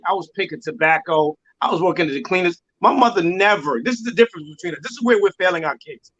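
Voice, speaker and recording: A man's voice: sits high, pushed and bright, low-quality recording. A man in his forties on a casual discussion panel, talking in an emphatic, preachy way and repeating words for stress. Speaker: podcast panelist.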